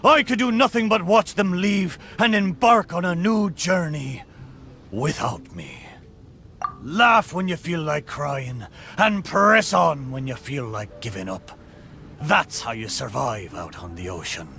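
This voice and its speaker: A man's voice: fairly high pitch, very animated, measured pace, gravelly, sharp tone, a little hiss or hum, heavily acted. A man playing a sentimental talking shipwreck in a video game, speaking like a theatrical old pirate sailor with a stage pirate brogue. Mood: resigned, grateful. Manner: theatrical, hearty, archaic sailor phrasing. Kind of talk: video game character acting. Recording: game music behind the voice.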